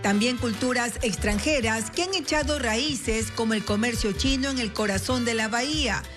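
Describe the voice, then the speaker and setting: A woman's voice: a little high, normal speed, over music. A woman with an Ecuadorian accent narrating a scripted television news report.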